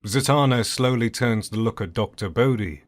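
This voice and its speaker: A man's voice: medium pitch, moderate pace. A man narrating a scripted audio drama as its storyteller.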